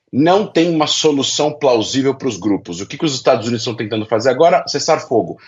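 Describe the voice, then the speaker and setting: A man's voice: medium pitch, dull audio. A Brazilian man speaking as an expert guest in an interview, explaining his analysis emphatically and repeating key phrases for stress. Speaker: guest specialist on Middle East affairs.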